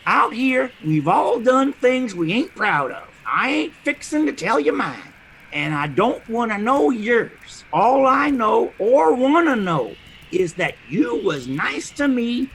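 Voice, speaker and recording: An actor playing a wily, good-hearted homeless character in an audio drama, with a gravelly voice and a folksy, playful, ungrammatical way of talking. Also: mid-range voice, big pitch swings, slow pace, fairly bright tone, a little hiss or hum, heavily acted. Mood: friendly, reassuring. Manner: folksy, playful, ungrammatical drawl with mangled words.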